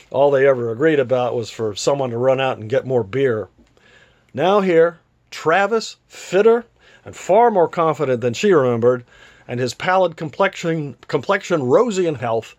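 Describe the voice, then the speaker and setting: A man's voice: medium pitch, darkish tone. A man reading a literary story aloud as an author would, with a theatrical delivery. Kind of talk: literary read-aloud, scripted narration.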